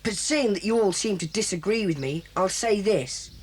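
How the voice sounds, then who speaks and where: A woman voicing a bossy young schoolboy who leads a children's club in an 1980s audio drama, lively and brisk. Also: mid-range voice, husky. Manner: brisk, bossy, takes charge.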